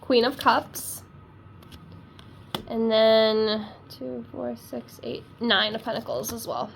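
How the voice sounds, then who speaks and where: A woman's voice: on the high side, level tone, takes it slowly, gravelly, a little hiss or hum. A woman with a congested, stuffy voice from a cold, chatting casually and rambling as she reads tarot cards. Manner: rambling, talkative, self-deprecating. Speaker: tarot reader.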